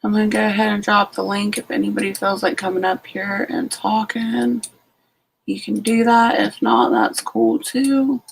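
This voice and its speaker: Sleepy, gravelly-voiced woman. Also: medium pitch, animated, slight background noise, poor audio. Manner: greets each viewer by name, slow and unhurried. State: sleepy, just out of bed. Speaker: homestead channel host. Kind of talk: late-night casual live stream chat with viewers.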